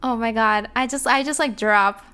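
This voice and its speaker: Bright, high-voiced giggly woman. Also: normal speed, clear, resonant tone. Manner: giggly, self-deprecating. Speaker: podcast voice actor. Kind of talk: casual group chat.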